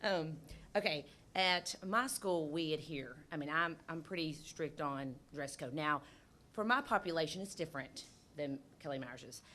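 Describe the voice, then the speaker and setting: A woman elementary principal with a loud voice, talking at a board meeting into a table microphone. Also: low-pitched, measured pace. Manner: loud-voiced, lively, conversational. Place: school board meeting room.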